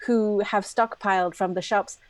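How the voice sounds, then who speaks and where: A middle-aged woman speaking as a guest in a live online talk, lively and earnest, laughing often. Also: mid-range voice, normal speed, dry recording.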